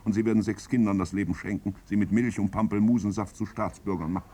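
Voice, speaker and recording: An actor playing a paternal, sardonic playwright in a 1950s German radio play. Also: male voice, fairly low pitch, fairly even intonation, quick pace, mellow, husky. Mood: teasing, sardonic. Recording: 1950s radio recording, faint noise.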